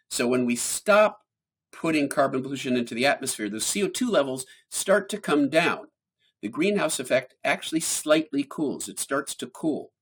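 A man speaking as a scientist guest in an interview, explaining in a measured way and stressing key points. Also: medium pitch, a bit bright, slightly rough.

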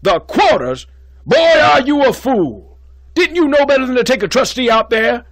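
A man voicing an excitable, blustering middle-aged male college head who scolds and shouts in a frantic, demanding way. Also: medium pitch, measured pace, husky, no echo, voice pushed up. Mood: scolding.

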